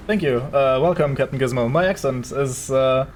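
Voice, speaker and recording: A man's voice: medium pitch, normal speed. A man from Germany with a German accent, giving casual, sarcastic commentary as he streams a game and swears at it.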